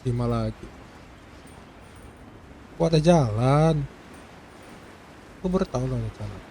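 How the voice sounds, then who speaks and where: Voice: medium pitch, lively intonation, normal speed, warm tone, slight background noise. A game streamer with an Indonesian accent making casual commentary while playing.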